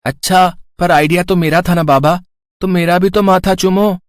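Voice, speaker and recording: A man's voice: sits high, fairly even intonation, normal speed, no room sound, clean studio sound, close to an everyday voice. A man voicing an eager, earnest young boy pleading with his parents in a cartoon moral story. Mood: playful.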